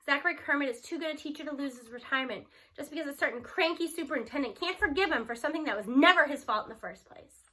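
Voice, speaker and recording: High, bright woman reading a story aloud. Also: fast talker, few pauses. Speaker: read-aloud narrator. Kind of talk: expressive storybook read-aloud in first person.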